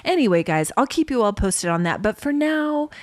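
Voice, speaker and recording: A woman's voice: fairly high pitch, sing-song, normal speed, no room sound, studio quality. A woman co-hosting a podcast in casual, lighthearted, bantering chat. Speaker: podcast co-host.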